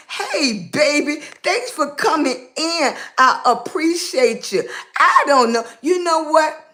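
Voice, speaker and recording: A woman from Virginia with a Southern accent, chatting live with her viewers, greeting them by name and calling them baby. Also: high voice. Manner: warm, affectionate, calls everyone baby, drawn-out words. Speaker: live streamer. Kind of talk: casual live-stream chat greeting viewers.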